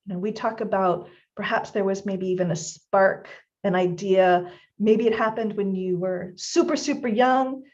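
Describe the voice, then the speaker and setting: A woman's voice: fairly high pitch, darkish tone, muffled recording. Upbeat woman hosting a live stream. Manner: upbeat, addresses audience directly. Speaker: host.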